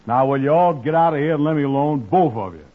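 A man's voice: on the high side, lively intonation, fast. An actor playing a grumpy, gullible, self-pitying man with folksy ungrammatical, hesitant talk in 1940s radio comedy style. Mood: grumpy, fed up. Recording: tinny old radio broadcast.

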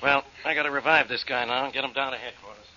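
An actor playing an exasperated, sarcastic police inspector in a 1940s radio drama. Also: male voice, medium pitch, steady tone, fast talker, bright, forceful tone. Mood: sour, brusque. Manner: sarcastic, brusque. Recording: muffled old radio recording with noise.

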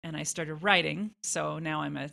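Casual, low-pitched woman with a bright edge. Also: steady tone, moderate pace, creaky. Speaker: guest songwriter. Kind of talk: casual interview answers.

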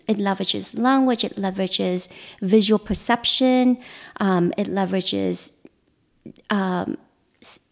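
A woman guest, a mentalist, speaking thoughtfully in a casual interview with frequent restarts. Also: mid-range voice. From Vietnam.

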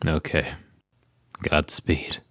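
A man playing a stern, middle-aged guard commander in an audio drama, speaking formally and with authority in a husky voice. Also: fairly bright tone, no room sound, clean studio sound. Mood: resigned. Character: stern male gate guard commander, authoritative, rule-bound.

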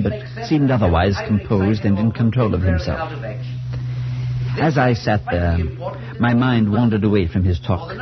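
A dull-sounding 1940s radio play carries a man acting a steady, worried friend telling an eerie tale. His voice sits low, earnest and firm, measured in the manner of old suspense drama. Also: natural voice. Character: steady, concerned male friend narrating a supernatural tale. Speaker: narrator and friend. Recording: muffled 1940s radio recording.

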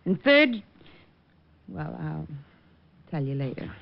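A playful, gently bossy girlfriend in a vintage radio drama. Her voice is very lively in pitch, expressive and coy. The sound is dull, like an old broadcast. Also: takes it slowly, gravelly, heavily acted. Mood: coy, teasing. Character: playful, teasing girlfriend of a detective, warmly bossy. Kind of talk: 1940s radio acting.

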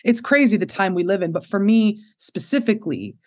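Woman, 30s, low voice; expressive, candid interview guest who laughs easily. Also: a little fast. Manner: expressive, open, laughs often. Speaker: podcast guest.

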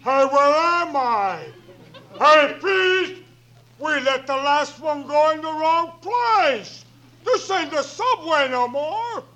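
Hollering, drawling subway digger. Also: male voice, very high-pitched, lively intonation, slow, pushed and bright, full character voice, rough recording. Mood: bewildered, hollering. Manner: hollering, slangy. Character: rough, bewildered working-class subway digger. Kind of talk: 1940s radio comedy acting.

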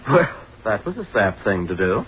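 A man's voice: fairly low pitch, big pitch swings, normal speed. An actor playing a smug, mocking young college fraternity man with a slangy, sardonic way of talking in a 1930s radio drama. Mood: annoyed, blunt. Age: young adult. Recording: dull, noisy 1930s radio recording.

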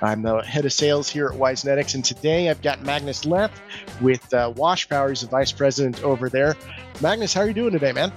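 A man's voice: medium pitch, lively intonation, a little fast, soft background music. A man hosting a podcast, chatting informally and easily as he asks questions. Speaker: podcast host. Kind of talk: casual interview chat.

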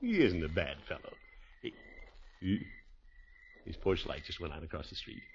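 A blustery, swooping radio-comedy uncle. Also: male voice, low voice, big pitch swings, moderate pace. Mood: musing. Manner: theatrical, blustering, with mutters and exclamations. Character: pompous, blustery middle-aged uncle, anxious and soft-hearted. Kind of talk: 1950s radio comedy acting. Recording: muffled, noisy vintage 1950s radio recording.

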